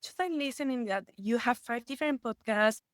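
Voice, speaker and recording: A woman's voice: on the high side, fairly quick, no echo, studio quality. Earnest woman. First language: Spanish. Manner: earnest, with frequent restarts and rising excitement. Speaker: guest expert. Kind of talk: conversational podcast interview.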